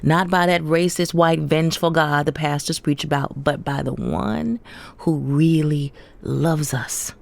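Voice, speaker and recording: A gravelly, earnest, sorrowful woman. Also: low voice, unhurried, darkish tone, a little hiss or hum. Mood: earnest conviction. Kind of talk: audio drama acting.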